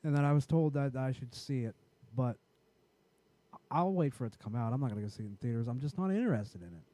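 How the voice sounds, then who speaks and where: Raspy, profane man chatting. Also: mid-range voice, expressive, fast talker, warm tone. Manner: profane, rambling, restarts often. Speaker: podcast co-host. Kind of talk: casual chat.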